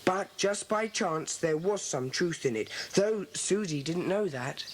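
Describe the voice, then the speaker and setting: An actor plays a solemn schoolboy in charge of his secret club. He speaks deliberately and with command, in children's audio drama style. The cassette recording has faint noise. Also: mid-range voice, animated, close to an everyday voice. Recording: faintly noisy 1980s cassette.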